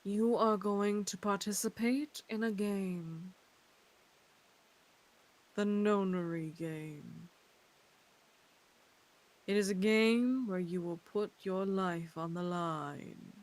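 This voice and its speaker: A woman voicing a cold, menacing masked kidnapper in a visual novel, speaking deliberately. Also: mid-range voice, lightly acted. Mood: cold menace.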